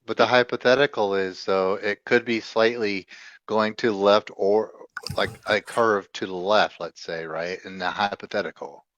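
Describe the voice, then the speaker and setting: Low-voiced laid-back man, lo-fi chat. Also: steady tone, moderate pace, a bit bright, no echo. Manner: laid-back, jokey, tries to steer back to the point. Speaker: voice-chat participant. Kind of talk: casual voice-chat debate. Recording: dull, dry lo-fi voice chat.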